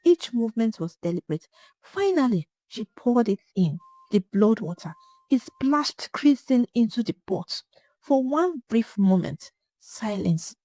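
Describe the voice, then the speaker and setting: A woman narrating a scripted folktale in a dramatic, suspenseful way, with short measured phrases. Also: fairly high pitch, big pitch swings, dark tone, no room sound, poor audio. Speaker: storyteller.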